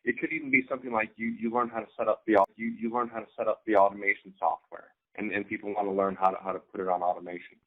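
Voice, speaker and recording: Guy w/ lowish voice on a tinny phone-like recording, coaching casually, repeats himself, says right? a lot. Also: level tone. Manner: halting, repeats words, often checks in with "right?". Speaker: co-host, online business coach. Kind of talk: informal instructional talk. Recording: thin, phone-like band-limited sound.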